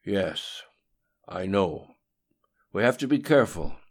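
A man's voice: low voice, very animated, slow, husky, voice pushed up, full character voice. A menacing supernatural tyrant, acted by a male audiobook narrator, speaks as a bodiless voice. He is imperious and scolding, commanding obedience in a raised, emphatic delivery. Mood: cold, calculating. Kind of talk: audiobook dialogue acting.

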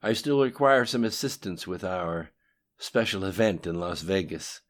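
A man voicing a cold, composed conspirator in an audiobook, deferential yet unafraid as he addresses his dark master. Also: deep voice, unhurried. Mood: businesslike. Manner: cool, deferential yet unafraid, matter-of-fact. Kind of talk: audiobook dialogue acting.

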